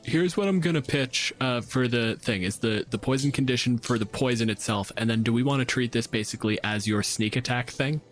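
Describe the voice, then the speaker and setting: A man's voice: medium pitch, brisk, hardly any pauses, soft background music. A man speaking informally as a game master, narrating scenes and ruling on play with casual swearing.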